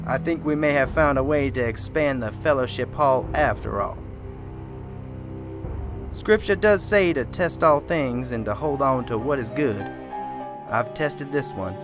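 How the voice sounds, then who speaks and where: A man playing a scheming church leader who speaks in a smug, measured, quietly menacing way, with eerie music underneath. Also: mid-range voice, lightly acted. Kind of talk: amateur radio drama acting.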